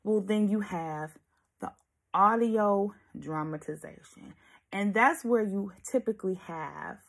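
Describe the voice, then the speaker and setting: A woman hosting a casual vlog, talking in an animated, emphatic and sassy way with a slightly husky voice. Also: mid-range voice. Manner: animated, emphatic, sassy asides with pet names. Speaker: host of an audiobook review vlog. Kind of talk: casual chatty video commentary.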